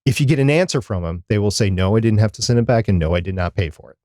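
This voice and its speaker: A man's voice: fairly low pitch, animated, very fast, dark tone, no echo. A man co-hosting a podcast in casual, opinionated chat, repeating words for emphasis, on a close microphone. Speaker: podcast co-host. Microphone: close studio microphone.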